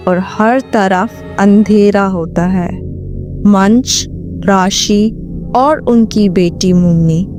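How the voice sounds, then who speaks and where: A woman reads a cartoon story aloud, setting down each phrase very slowly. Her tone is dark and mellow, with faint music behind her. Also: medium pitch. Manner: very slow, measured telling. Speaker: narrator. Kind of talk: storybook narration.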